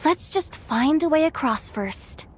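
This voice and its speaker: A woman playing a young, anxious, chatty tech tinkerer in an anime game, expressive and nervously self-deprecating. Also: sits high, unhurried. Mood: flustered. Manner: nervous, expressive muttering to herself.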